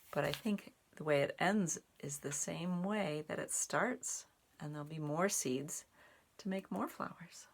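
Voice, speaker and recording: Deep-ish lady w lots of vocal fry, teaching and reading to little kids, keeps it simple. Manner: simple, explanatory, as if to young children. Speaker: storyteller and sign language teacher. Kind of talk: picture-book read-aloud and casual sign language teaching.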